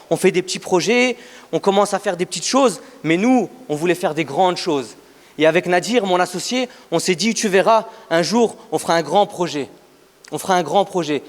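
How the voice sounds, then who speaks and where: A man in his thirties giving a motivational startup pitch on stage, emphatic, repeating phrases for effect as he tells a story. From Afghanistan. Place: large event hall, on stage. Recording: echoey hall with long reverb.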